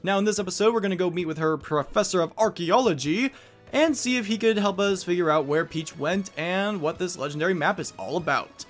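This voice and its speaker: A man's voice: high-pitched, fairly quick, soft background music. A man hosting a video game let's play with upbeat, enthusiastic commentary.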